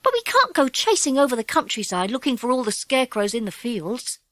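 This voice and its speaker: A woman's voice: fairly high pitch, very animated, fairly quick, fairly bright tone, no room sound. A woman voicing a keen, earnest schoolboy who leads his gang, in a children's audiobook. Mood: thoughtful. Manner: eager, bossy, earnest. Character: keen schoolboy gang leader, polite and decisive. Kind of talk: children's audiobook character acting.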